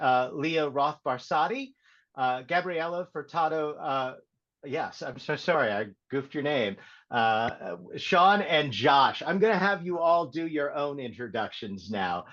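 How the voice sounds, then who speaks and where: Dull-sounding, higher-pitched male panel host. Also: expressive, measured pace, a bit bright. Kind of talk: casual moderated livestream panel. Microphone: video call. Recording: dull, lo-fi call audio.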